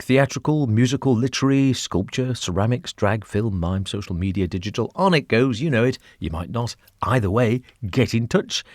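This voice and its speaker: A man's voice: mid-range voice, no room sound, studio quality. A man presenting a radio arts show in a scripted style as its host.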